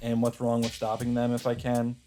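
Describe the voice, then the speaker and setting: A man's voice: medium pitch, completely flat delivery, fairly quick, dark tone. A man hosting a let's play, reading game narration aloud with casual asides. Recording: game music faintly behind voice. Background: faint game music.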